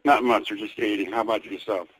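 A man talking casually on a rough telephone line. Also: on the low side, fairly even intonation, fast, a bit bright. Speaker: person answering prank calls. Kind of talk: casual phone talk.

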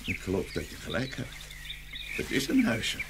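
A man's voice: lowish voice, wildly swooping pitch, moderate pace, very noisy. A man playing a grand, good-natured, sleepy tsar in a dramatised fairy tale, with theatrical exclamations. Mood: puzzled.

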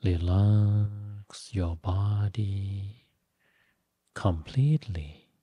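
Casting: male meditation guide, low-pitched and very mellow, gentle short instructions, unhurried with long pauses. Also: breathy edge, no echo. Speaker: Buddhist monk, meditation teacher.

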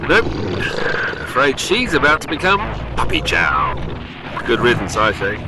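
A man's voice: medium pitch, wildly swooping pitch, measured pace. A man voicing a sneering, scornful internet troll in an action horror audio drama. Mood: bored scorn. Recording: loud battle noise and gunfire behind.

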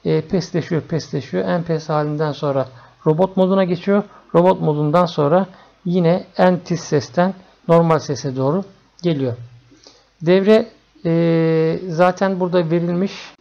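Mellow, creaky-voiced man explaining. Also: a little high, fairly even intonation, normal speed. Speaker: electronics tutorial presenter. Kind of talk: informal explanatory screencast narration. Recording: dull, lo-fi screencast audio.

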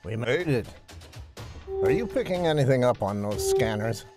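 An actor playing a commanding starship captain, barking urgent orders in a cinematic sci-fi trailer. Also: male voice, medium pitch, expressive, normal speed, natural voice. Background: loud music.

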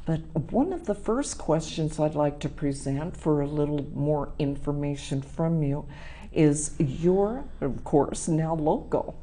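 Woman talk show host, effusive, low-ish voice, unhurried, studio set with lapel mic. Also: animated, mellow, slight background noise. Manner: effusive, laughs often. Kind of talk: TV interview.

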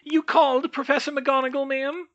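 Squeaky, eager little female house-elf played by a narrator. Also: flat delivery, normal speed, a bit bright, dull audio. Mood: eager, deferential. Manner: deferential, eager. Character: small excitable female house-elf servant, squeaky and deferential.

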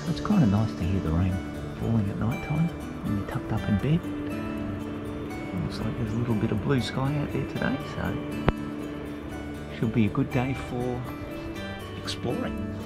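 A man's voice: medium pitch, animated, normal speed, pauses often, loud music behind the voice. A man in his fifties with an Australian accent, chatting casually to his camera while on a road trip. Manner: easygoing, chatty, self-mocking. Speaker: travel vlogger. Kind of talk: casual travel vlog narration. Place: outdoors on a beach and inside a car. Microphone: action camera held at arm's length.

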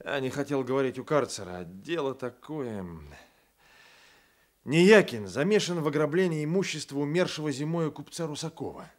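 An actor playing a blunt, loyal provincial Cossack sergeant, folksy and emphatic, in a radio play. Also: male voice, fairly high pitch, animated, normal speed, close to an everyday voice. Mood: confiding. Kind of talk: Soviet radio play acting.

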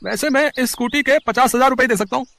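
A man voicing a brisk shopkeeper in a family story. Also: extremely high pitch, gravelly, a bit bright, exaggerated voice. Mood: businesslike. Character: brisk male scooter parts shopkeeper.

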